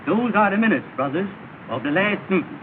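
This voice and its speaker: An actor in a 1930s film comedy playing a formal, deadpan lodge secretary reading out the minutes. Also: male voice, sits high, brisk. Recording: scratchy, tinny, noisy 1930 film soundtrack.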